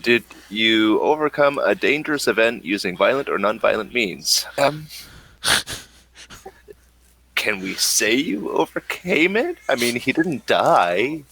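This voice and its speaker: A man's voice: mid-range voice, lively intonation, a little slow, creaky, bright, forceful tone, husky, no echo. A man acting as game master, asking players questions in a tabletop game wrap-up. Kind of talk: running end-of-session tabletop game recap.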